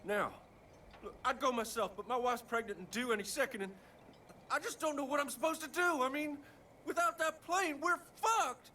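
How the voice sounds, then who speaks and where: A man's voice: very high voice, normal speed, pushed and bright, slight background noise. An actor playing a hot-tempered, foul-mouthed male bush pilot who breaks into swearing, emotional outbursts. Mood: desperate. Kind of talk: video game cutscene acting.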